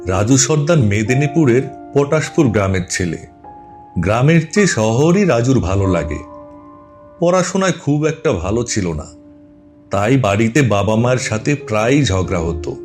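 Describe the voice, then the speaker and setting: A man narrates a scripted ghost story in a medium-pitched voice. Music runs clearly behind him as he tells the tale. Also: big pitch swings, moderate pace, darkish tone.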